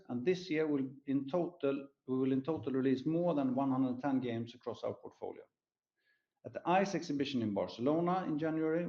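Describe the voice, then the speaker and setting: A man's voice: mid-range voice, mellow, muffled recording. A man presenting company results as an executive on an earnings call, reading prepared remarks in a formal way. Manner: formal, scripted delivery. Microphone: conference call line.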